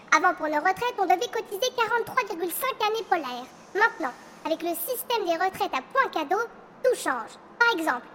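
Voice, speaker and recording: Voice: very high voice, bright, forceful tone, a little hiss or hum, full character voice. A performer voicing an aggrieved elf worker who gripes and explains in fussy detail, in a comic audio drama. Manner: explanatory, griping, over-detailed. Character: aggrieved elf worker grumbling about pension reform.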